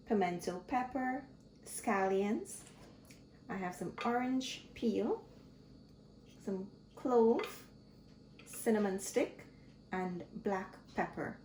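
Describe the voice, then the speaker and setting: A woman talks slowly through a recipe, her voice at a middle pitch. The kitchen is echoey, and clattering pots and dishes are clearly heard behind her. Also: darkish tone, a little hiss or hum. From Guyana. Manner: slow, friendly step-by-step explaining. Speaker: cooking channel host. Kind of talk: casual cooking tutorial. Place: home kitchen.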